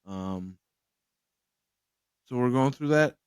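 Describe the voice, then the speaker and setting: A man's voice: medium pitch, expressive, takes it slowly, smooth, no echo, studio quality. A man hosting a casual podcast chat who rambles, swears freely and laughs often. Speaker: podcast host.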